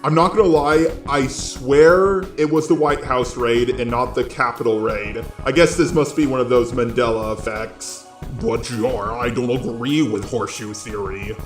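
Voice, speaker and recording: A man's voice: mid-range voice, normal speed. Echoey synthetic robot man. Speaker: host of a video commentary channel. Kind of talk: scripted video essay commentary. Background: faint background music.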